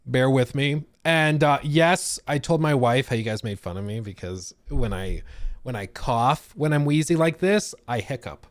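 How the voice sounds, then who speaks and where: Male streaming host, casual rambling chat, slightly short of breath, very animated delivery. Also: mid-range voice, normal speed, dry recording, studio quality. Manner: rambling, animated, lots of fillers and restarts.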